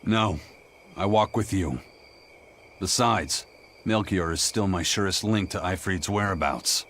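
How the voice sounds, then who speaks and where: An actor playing a grim, stubborn pirate first mate in a video game, gruff and serious. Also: male voice, sits low, a little slow, fairly bright tone, slight background noise. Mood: calm, serious. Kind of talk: English video game voice acting.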